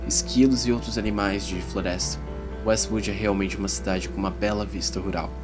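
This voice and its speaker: A man's voice: lowish voice, lightly acted. A Brazilian man playing a teenage schoolboy who narrates an uneasy fantasy adventure in a dramatized audiobook. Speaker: first-person narrator.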